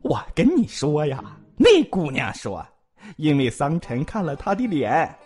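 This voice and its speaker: A man's voice: fairly high pitch, very animated, unhurried, a little mellow, breathy edge, faint music behind, exaggerated voice. A man voicing a talkative, joking young male physician who gossips and pleads comically in a multi-cast audio drama. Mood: gossipy. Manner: bantering, giggly, exaggerated pleading.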